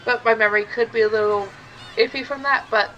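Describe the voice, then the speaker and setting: A woman with a hoarse voice from a sore throat, casually commenting on a game she is playing and explaining its details step by step. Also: a little high, steady tone, fairly quick, highs cut off, slight background noise. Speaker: let's play gamer. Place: room at home.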